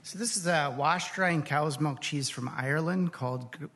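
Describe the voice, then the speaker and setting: Man, higher-pitched voice, expert guest, live stage interview, descriptive explaining. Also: moderate pace, fairly bright tone. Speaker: guest, professional cheesemonger. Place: stage before a live audience.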